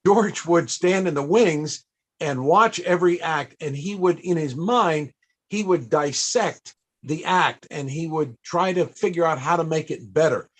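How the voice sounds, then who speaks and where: A man hosting a panel, telling stories conversationally to an audience, with halting, searching pauses. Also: fairly high pitch. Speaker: panel host and interviewer.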